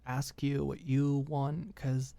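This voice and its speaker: A woman playing a frank, caring young woman who gives careful, halting advice in an intimate audio drama. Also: a bass voice, level tone, creaky, warm tone, no echo, full character voice. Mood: careful, hesitant. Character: Alicia, frank, caring, tentative young woman advising a friend.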